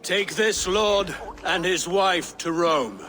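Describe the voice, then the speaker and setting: Solemn medieval authority, video game, slow proclamation, fairly high, reverberant hall. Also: male voice, bright, forceful tone, full character voice. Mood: stern. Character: stern medieval lord pronouncing exile. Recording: long hall reverb, faint music.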